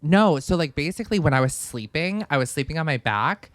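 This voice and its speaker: Young guy podcast cohost, kinda sniffly from a cold, smooth clean voice, super dry sound, says like a lot. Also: a little high, expressive, fairly quick, a bit bright, no room sound. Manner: chatty, lots of "like" and "literally". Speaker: podcast co-host. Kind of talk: casual chat.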